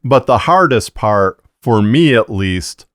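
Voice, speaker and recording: A middle-aged man narrating a scripted explainer to camera in a measured, deliberate way, close on a broadcast mic in a small treated booth. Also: lowish voice, animated, dry recording. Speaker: fiction podcaster and narrator. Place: small booth of acoustic blankets, indoors. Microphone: broadcast dynamic mic on boom arm, close.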